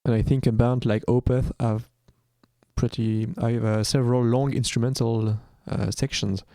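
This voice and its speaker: A man in a band chat, speaking haltingly with many filler sounds. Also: medium pitch, level tone, warm tone, no room sound.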